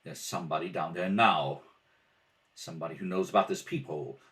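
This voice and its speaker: A man's voice: low-pitched, brisk, fairly bright tone, far from the mic. A man playing a cool, wry, take-charge detective husband in an amateur radio mystery read-through. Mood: urgent, hushed. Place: at a kitchen table at home.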